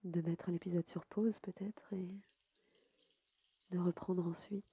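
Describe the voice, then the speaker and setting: A woman softly guiding a sleep meditation as a yoga nidra host, unhurried and soothing, with a breathy voice that sometimes nears a whisper. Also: on the low side, flat delivery, no echo, dull audio. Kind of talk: guided sleep meditation narration.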